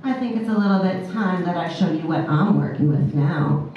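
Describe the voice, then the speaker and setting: A woman's voice: on the low side, moderate pace, dull audio. A woman in her thirties hosting a burlesque show, teasing the crowd as she introduces each act. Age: 30s. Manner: playful, teasing crowd work. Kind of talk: emcee banter introducing acts. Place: brick-walled club stage, indoors. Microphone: handheld mic, recorded by far camera. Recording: echoey club from far off, with background noise.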